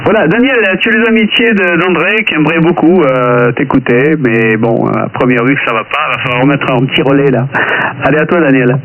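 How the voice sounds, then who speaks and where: A man's voice: mid-range voice, a little fast. A man chatting casually as an amateur radio operator, explaining things in a hesitant, stop-start way. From southern France. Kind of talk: casual two-way radio chat. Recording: tinny narrowband radio, faint hiss.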